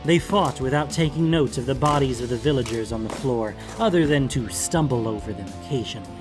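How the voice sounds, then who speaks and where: Casting: male audiobook narrator, medium pitch, dramatic scripted fantasy reading with soft music behind. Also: a little fast.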